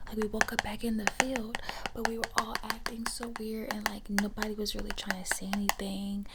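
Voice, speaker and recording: A woman whispering an ASMR storytime in a breathy, unvoiced voice, rambling and repeating words and phrases for soothing effect. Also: on the high side, very noisy. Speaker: ASMR creator.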